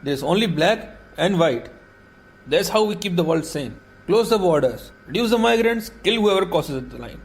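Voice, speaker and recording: High, strident hardline ranter acted by a man. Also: animated, normal speed. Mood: harsh, fanatical. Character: hardline, ranting xenophobic male authoritarian. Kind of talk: audio drama acting.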